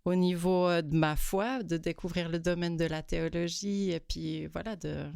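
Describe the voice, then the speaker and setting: A woman, a librarian chatting on a podcast, gentle and hesitant with many fillers. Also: fairly low pitch, steady tone, moderate pace, vocal fry, smooth, no echo, clean studio sound. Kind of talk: casual podcast chat. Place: studio.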